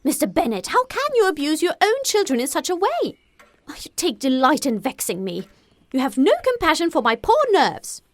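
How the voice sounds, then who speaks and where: A woman voicing an excitable, nagging middle-aged wife with a British accent in an audiobook, gushing and fretful. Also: high voice, normal speed, no echo, voice pushed up. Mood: vexed, fretful. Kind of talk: audiobook character acting.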